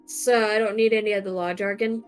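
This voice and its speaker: A woman's voice: mid-range voice, steady tone, fairly quick, clear, resonant tone, soft background music. A young woman streaming a game, chatting casually with lots of fillers and restarts. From United States. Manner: hesitant, many fillers and restarts. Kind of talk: casual chat.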